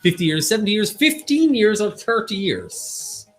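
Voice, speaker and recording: Irish man, quiz co-host, slightly high and lively voice, jokey, faint music under. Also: normal speed. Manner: animated, joking, reads answers and percentages. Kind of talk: livestreamed pub quiz banter.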